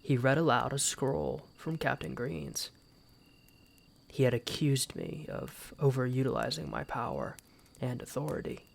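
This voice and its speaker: Casting: male actor as proud, wounded exiled knight for fantasy audio roleplay; very creaky voice, unhurried, archaic formal delivery. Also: mid-range voice. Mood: solemn, bitter. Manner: formal, archaic, solemn storytelling with dramatic pauses. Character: embittered exiled knight, wounded pride, grieving for his mother. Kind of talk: first-person fantasy roleplay audio drama.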